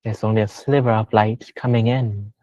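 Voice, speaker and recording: A man leading a guided meditation as a teacher, speaking gently and unhurriedly with long pauses. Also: on the low side, fairly even intonation, no room sound, lo-fi sound.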